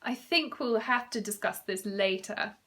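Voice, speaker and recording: A man's voice: high-pitched, unhurried, pushed and bright, pitched above the performer's own voice, exaggerated voice. A man voicing a proud young princess in a storybook, in a raised, pompous girlish voice. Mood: playful.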